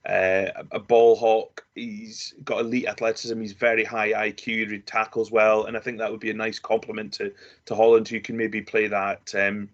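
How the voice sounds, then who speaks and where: Male panelist, lower register, hesitant casual delivery, muffled lo-fi recording. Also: little pitch movement, normal speed. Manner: hesitant, many ums, laughs easily. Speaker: draft analyst, co-host. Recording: dull lo-fi audio.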